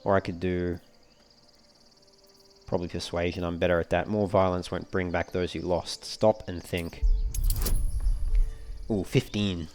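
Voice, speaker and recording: Low-voiced man doing game commentary. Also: fairly even intonation, a little slow, pauses often, darkish tone. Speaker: let's play host. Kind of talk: casual gameplay commentary, reading game dialogue aloud. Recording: game music faintly behind the voice. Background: video game music.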